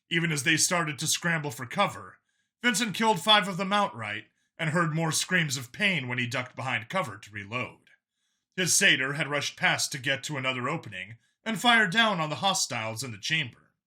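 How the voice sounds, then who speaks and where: A male audiobook narrator tells a dramatic science fiction story in an expressive, theatrical way. His voice is slightly high for a man and very bright, with lively swings in pitch.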